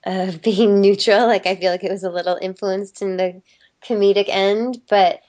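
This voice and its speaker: A woman talks as a guest in a relaxed interview. She thinks aloud and often breaks into a light laugh. Her pitch is in a middle range. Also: normal speed. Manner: thoughtful, laughs often, some restarts. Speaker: voice actress guest. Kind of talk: casual interview chat.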